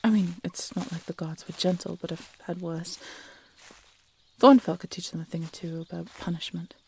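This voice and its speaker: A woman's voice: fairly low pitch, steady tone, normal speed, creaky, a little mellow, husky, exaggerated voice. A woman playing a guarded, defiant peasant huntress in a fantasy audio drama, casual and sardonic. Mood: wry, guarded. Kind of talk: fantasy audio roleplay acting.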